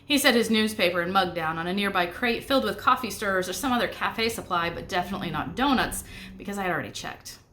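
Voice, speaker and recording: A woman's voice: medium pitch, lively intonation, fast talker, fairly bright tone, slight background noise. A woman reads a children's novel aloud in a mid-range voice. She narrates expressively in the first person, with a snarky, storytelling manner. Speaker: narrator reading a book aloud. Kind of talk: expressive middle grade audiobook narration.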